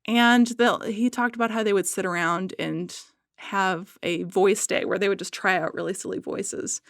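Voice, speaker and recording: A woman chatting casually as a podcast guest, gushing with animated, emphatic enthusiasm. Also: mid-range voice, moderate pace, creaky, no room sound, clean studio sound.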